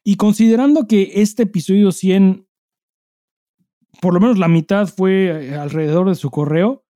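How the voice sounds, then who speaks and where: Slangy Mexican man with a mellow, dry sound. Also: sits high, normal speed, no echo. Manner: slangy, profane, emphatic. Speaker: podcast co-host. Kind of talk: casual chat.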